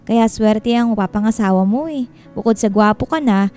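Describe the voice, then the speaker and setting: A woman's voice: on the high side, normal speed, mellow, clean tone, soft background music, close to an everyday voice. An actress playing a bossy, teasing female friend and team organizer with a Filipino accent in a radio drama. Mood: chatty, teasing.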